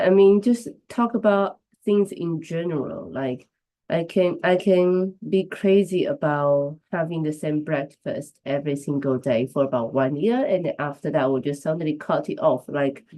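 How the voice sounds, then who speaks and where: A woman's voice: fairly low pitch, rough recording. A woman whose first language is Chinese, hosting a live stream while she has the flu, chatting casually and greeting viewers as they join. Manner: chatty, warm greetings to viewers, restarts phrases. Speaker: livestream host.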